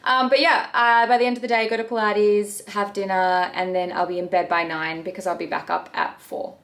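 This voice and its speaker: A woman's voice: medium pitch, little pitch movement, fairly quick, a bit bright. A woman in her thirties, a bakery owner, chatting earnestly to camera from her bedroom in a casual question-and-answer video. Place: bedroom at home.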